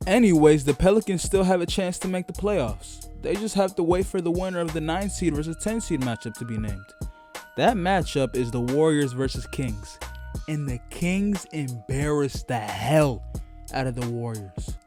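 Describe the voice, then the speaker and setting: A man with a slightly high voice delivers comedic, scripted sports commentary. He is slangy and profane with mock-dramatic touches, and faint music plays behind him. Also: expressive, normal speed, a little mellow. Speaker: sports recap video host.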